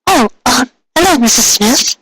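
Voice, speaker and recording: A man's voice: very high-pitched, lively intonation, moderate pace, sharp tone, slightly rough, no echo, voice pushed up, full character voice. A man voicing a sweet, shy young female baker pony with a hesitant, polite manner in a storybook reading. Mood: flustered greeting. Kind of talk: amateur storybook character reading.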